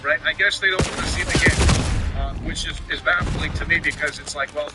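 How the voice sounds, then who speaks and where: A man's voice: a little high, fairly even intonation, a little fast, over loud music. A man hosting a gaming stream, delivering an unscripted, emphatic and repetitive rant in an exasperated way. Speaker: live streamer and gaming channel host. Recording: loud game gunfire over voice. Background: shooter game gunfire and announcer.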